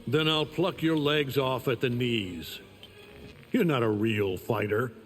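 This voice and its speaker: An actor playing an arrogant, menacing, godlike conqueror in a video game, with a contemptuous, commanding delivery. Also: male voice, mid-range voice, takes it slowly, a little hiss or hum, lightly acted. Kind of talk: video game character acting.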